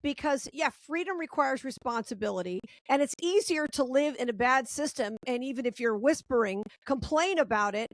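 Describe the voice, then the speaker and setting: A woman's voice: high-pitched, expressive, moderate pace, hardly any pauses, no echo. A woman hosting an interview, making her points emphatically in long run-on sentences. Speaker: talk show host.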